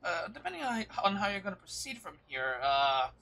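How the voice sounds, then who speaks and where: High-pitched, bright-voiced male game streamer. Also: sing-song, a little fast. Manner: hesitant, musing, reactive. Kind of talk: casual live-stream reaction to a game.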